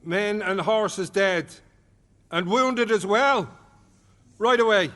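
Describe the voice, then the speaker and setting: A man's voice: high voice, slow, bright, forceful tone, long reverb tail, heavily acted. A man playing a fervent, declamatory figure in a radio drama. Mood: grave, declamatory.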